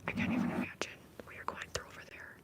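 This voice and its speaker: A woman streaming ASMR, whispering very close to the microphone in a breathy voice as she chats softly to viewers and repeats soothing trigger words. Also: fast talker. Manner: soft, repetitive trigger words.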